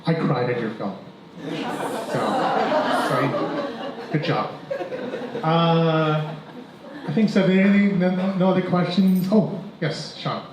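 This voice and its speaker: A man's voice: a little high, slow, pauses often, crowd noise. A man moderating a film panel, rephrasing questions with many fillers. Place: event hall. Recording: echoey hall, dull sound, noise.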